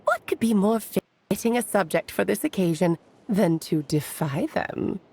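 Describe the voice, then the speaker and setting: A woman's voice: medium pitch, measured pace, mellow, breathy edge. A woman voicing a bold, defiant, merry witch in a video game, with a rousing theatrical delivery. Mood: resolute, defiant.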